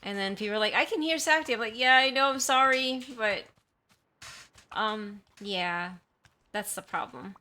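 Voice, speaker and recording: Bright-voiced, apologetic woman streamer. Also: fairly high pitch, moderate pace, slight background noise. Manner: rambling and apologetic. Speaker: livestreamer. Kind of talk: casual chat with viewers. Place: room at home. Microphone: desktop condenser mic at high sensitivity.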